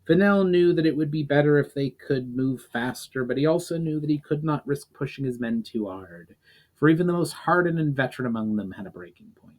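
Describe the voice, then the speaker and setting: Guy doing a dramatic read of a fantasy novel, deliberate, trips on a word now and then. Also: on the high side, lively intonation, a little mellow. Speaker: writer reading own novel aloud. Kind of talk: dramatic audiobook-style narration of a fantasy story.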